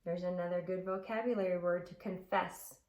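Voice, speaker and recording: A woman's voice: on the low side, fairly even intonation, fairly quick, lo-fi sound. A woman elementary teacher explaining simply to children and reading aloud, with emphasis and rhetorical questions. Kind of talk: children's lesson and expressive storybook read-aloud.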